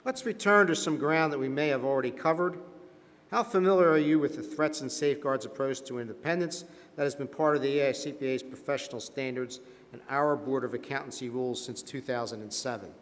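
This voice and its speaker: A man playing a formal, probing board member questioning a witness in a staged hearing, measured and deliberate. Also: mid-range voice, a bit bright, natural voice. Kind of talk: scripted dramatization of a hearing. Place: large meeting hall with sound system. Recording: long reverb of a large hall.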